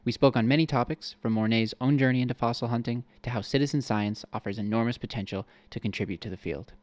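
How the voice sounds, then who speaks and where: A man's voice: medium pitch, quick pace, a little mellow, no echo. A young man hosting a podcast, reading his intro and asking questions in a polite, self-deprecating, slightly hesitant way. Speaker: podcast host. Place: home room. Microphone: studio mic on a boom arm.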